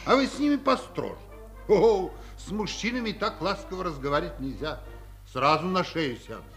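A man's voice: high voice, expressive, normal speed, a bit bright, soft background music. An actor playing a fussy, officious but fatherly army doctor in a 1950s Soviet radio play. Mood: exasperated lecturing.

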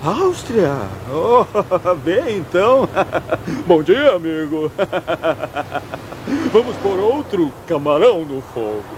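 A man's voice: high voice, very animated, slow, noisy background, full character voice. An awkward dim-witted young guy. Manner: awkward, stammering, overly friendly. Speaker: dubbing actor. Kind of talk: Brazilian Portuguese film dub.

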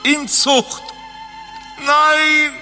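An actor playing a vain, scatterbrained doctor with a theatrical manner in a satirical radio play. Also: male voice, very high voice, flat delivery, very slow, over music, exaggerated voice. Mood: melodramatic. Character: vain, scatterbrained doctor hamming a soap opera chief physician.

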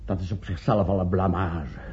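A man's voice: deep voice, fairly even intonation, moderate pace, darkish tone, lightly acted. A man playing a pessimistic senior professor in a radio drama, sardonic and exasperated with his colleagues. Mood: gloomy, sardonic. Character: gloomy, impatient male senior professor, pessimistic about science. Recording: muffled old radio play recording.

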